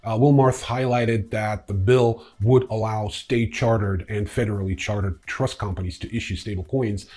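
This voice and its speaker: A man's voice: fairly low pitch, steady tone, moderate pace, hardly any pauses, a little mellow. A man hosting a newsreel, reading scripted news hesitantly and adding casual, blunt, irreverent opinions. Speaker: newsreel host.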